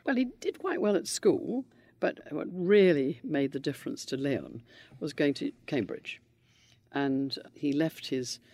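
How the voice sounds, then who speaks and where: An older man, answering interview questions in a measured, gentle and reflective way. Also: mid-range voice, no room sound. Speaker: interviewee, widower of a politician.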